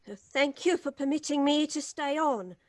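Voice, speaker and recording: A woman's voice: sits high, a little slow, a little mellow, no echo, studio quality. A woman playing a solemn, foreboding housekeeper with a formal manner in an old-time radio drama. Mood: formal, deferential. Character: solemn, ominous English housekeeper. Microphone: studio mic, video call.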